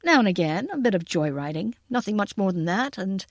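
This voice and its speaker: A woman's voice: medium pitch, expressive, brisk, no echo, clean studio sound, close to an everyday voice. Audiobook role: courteous, easygoing American man of the countryside , played by a woman, dryly recorded. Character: polite, relaxed American countryman, amused and appreciative.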